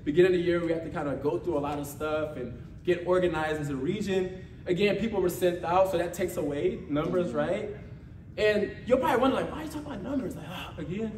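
A man's voice: high voice, fast, darkish tone. An American man preaching an informal motivational sermon as a church leader, exhorting conversationally, repeating phrases and checking for agreement. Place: church meeting room with responding congregation. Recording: echoey room from far off, faint noise.

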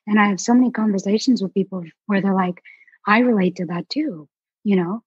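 A young woman from Alaska, an artist chatting as a guest in a relaxed, rambling way with many fillers. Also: medium pitch, fairly quick, breathy edge, no room sound, highs cut off. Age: young adult. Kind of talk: casual interview chat.

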